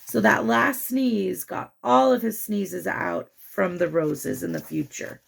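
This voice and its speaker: A woman reading a picture book aloud to children, with chatty, expressive asides and questions to the young listener. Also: mid-range voice, takes it slowly, creaky, husky.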